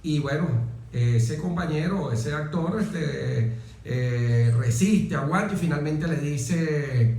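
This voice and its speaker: A man from Venezuela with a Venezuelan accent, telling personal stories as an interview guest, phrasing deliberately and adding little tag questions. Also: a little high, darkish tone. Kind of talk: reflective personal storytelling in an interview. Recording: very echoey, reverberant room.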